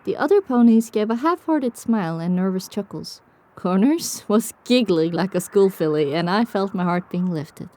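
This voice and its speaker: Woman as a mellow, reflective storyteller. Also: mid-range voice, expressive, moderate pace, lightly acted. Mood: hopeful. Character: reflective, sorrowful female pony survivor telling her story. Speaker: narrator. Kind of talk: dramatic first-person scripted narration.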